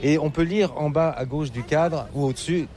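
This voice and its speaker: A man's voice: fairly high pitch, moderate pace, a little mellow. A man reporting for radio on a windy waterfront, asking leading questions and tossing in playful asides. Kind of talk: on-the-spot radio travel report. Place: windy city waterfront outdoors. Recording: outdoor crowd noise.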